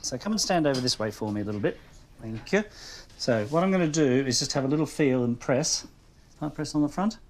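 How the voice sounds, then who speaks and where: A man with an Australian accent working as a family doctor, talking a patient through a consultation in a calm, reassuring way and often checking "okay?". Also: medium pitch, brisk, a little hiss or hum. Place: GP consulting room.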